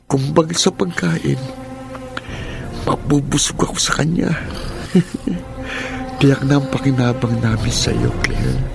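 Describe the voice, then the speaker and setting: A man's voice: medium pitch, fairly even intonation, slow pace, creaky, pauses often. An actor playing a menacing, lecherous young male villain in a Filipino radio drama, taunting and gloating. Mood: gloating, predatory. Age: young adult. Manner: leering, taunting delivery with gloating chuckles. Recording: music behind the voice.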